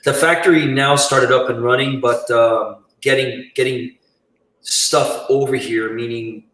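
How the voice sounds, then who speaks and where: A man's voice: mid-range voice, steady tone, unhurried, a bit bright. A middle-aged man chatting casually as a cigar company guest on a live show. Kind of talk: casual chat. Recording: roomy echo, distant from mic.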